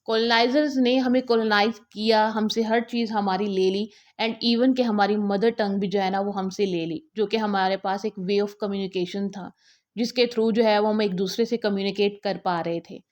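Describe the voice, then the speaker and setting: A woman giving a literature lecture on her channel. She switches between Hindi and English, uses many fillers and slows down when she reads out quoted lines. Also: mid-range voice, steady tone, darkish tone. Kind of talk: lecture explaining a poem.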